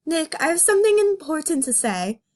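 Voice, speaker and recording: A woman's voice: very high voice, big pitch swings, brisk, darkish tone, pitched above the performer's own voice. A woman voicing an earnest, anxious female cartoon rabbit from a fan comic, pleading and melodramatic. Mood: insistent.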